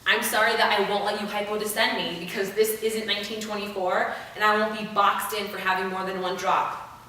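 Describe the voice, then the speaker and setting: A young adult woman performing a rehearsed spoken-word monologue with emphatic, theatrical delivery and deliberate pauses. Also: little pitch movement, pushed and bright. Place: room without an audience. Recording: echoey room, distant mic, rumbling noise.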